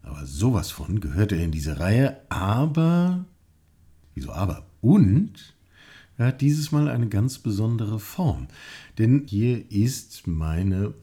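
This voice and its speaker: Lively low-voiced male podcast host. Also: slow pace. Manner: playful, lively, toys with his own phrasing. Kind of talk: casual conversational podcast.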